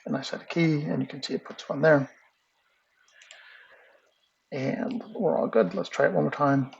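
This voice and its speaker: A man's voice: fairly high pitch, normal speed, creaky, breathy edge, lo-fi sound. A man teaching animation in a casual screen-recorded tutorial, rambling through steps with lots of fillers while he clicks. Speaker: animation instructor.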